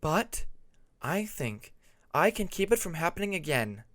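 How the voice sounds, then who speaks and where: A knowing female dragon speaks in a raised, dry-recorded voice, performed by a male narrator. She is calm and frank, laying out dream lore with measured care in a fantasy story. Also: a little high, no echo, voice pushed up. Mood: reassuring.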